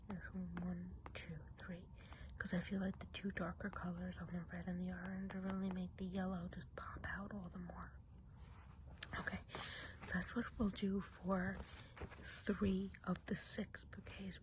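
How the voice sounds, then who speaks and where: A woman voicing a chatty, gossipy witch of the woods in an ASMR roleplay, speaking in a soft breathy whisper and confiding in the listener. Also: on the low side, background noise, natural voice. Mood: gentle, musing. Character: chatty gossipy woodland witch planning enchanted festival.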